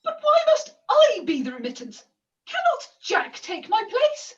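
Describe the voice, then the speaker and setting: Dull, distant video-call audio of a woman acting a poor young pantomime maiden. Her voice is very high, plaintive and theatrical, with wary, old-fashioned phrasing. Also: wildly swooping pitch, unhurried, exaggerated voice. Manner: theatrical, plaintive, mock-archaic. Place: at home, indoors.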